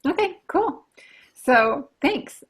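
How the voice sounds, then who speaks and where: A woman's voice: high voice, sing-song, drawn-out words. A woman co-hosting a podcast, chatting casually and trading playful banter. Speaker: podcast co-host.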